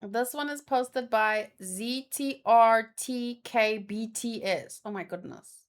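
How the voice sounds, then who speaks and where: A woman's voice: fairly high pitch, slow. A woman chatting casually as a streamer, thinking aloud in a rambling, exclamatory way. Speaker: manga read-along streamer.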